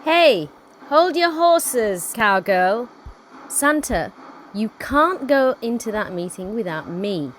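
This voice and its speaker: A woman playing a bold, pushy lawyer with a drawn-out delivery in a radio play. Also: fairly high pitch, lively intonation, a little hiss or hum. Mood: assertive.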